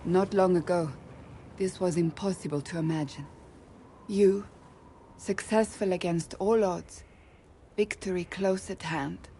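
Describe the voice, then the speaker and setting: An actress playing a devout, composed woman warrior and advisor in a video game, speaking in a measured, formal, solemn manner. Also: lowish voice, fairly even intonation, mellow, a little hiss or hum. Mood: solemn, resolute. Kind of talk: video game cutscene acting.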